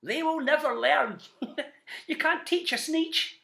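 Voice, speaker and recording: A man's voice: very high-pitched, slow, vocal fry, sharp tone, voice pushed up, exaggerated voice. A man voicing a sly, slick travelling salesman in a storybook, with keen, persuasive patter. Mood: gloating.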